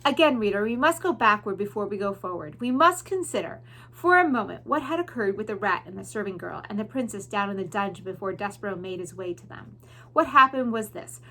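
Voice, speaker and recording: Bright, expressive woman reading aloud. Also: medium pitch, fairly quick, rough recording. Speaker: read-aloud teacher. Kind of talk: expressive children's book narration.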